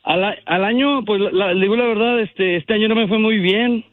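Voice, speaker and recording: A man's voice: high-pitched, moderate pace. A man from Texas calling a radio show, chatting casually and a little hesitantly over the phone. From El Paso, Texas. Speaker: radio contest caller. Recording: dull lo-fi phone line.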